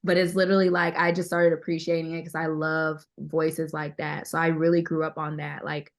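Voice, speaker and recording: A woman with a deeper voice, chatting casually and candidly as an interview guest, often repeating herself for emphasis. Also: little pitch movement, fairly quick. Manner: candid, conversational, emphatic repetition. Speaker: interview guest, singer-songwriter. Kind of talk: casual chat.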